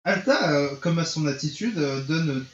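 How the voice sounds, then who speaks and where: A slightly high-pitched male streamer reads visual novel text aloud in a theatrical, playful way, tripping over the odd line. The sound is dull and roomy, with faint music underneath. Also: a little slow. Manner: theatrical, stumbles over lines. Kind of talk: reading game dialogue and narration aloud in a playful let's play.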